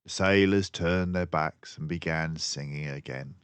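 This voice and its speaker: A man's voice: rumbling low, measured pace, clean tone, no echo. An older man reading a children's book aloud as a storyteller. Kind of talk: scripted narration of a children's book.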